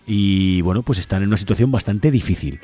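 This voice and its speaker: A man's voice: low-pitched, a little fast, over loud music. A man with an accent from Spain presenting an informal activist radio show in a conversational, politically engaged way. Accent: Spanish from Spain. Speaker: radio host.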